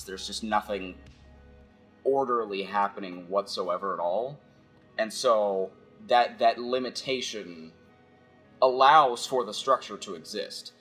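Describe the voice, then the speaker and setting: A man giving a casual philosophical monologue as a video essayist, halting and conversational as he thinks aloud. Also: on the low side, pushed and bright.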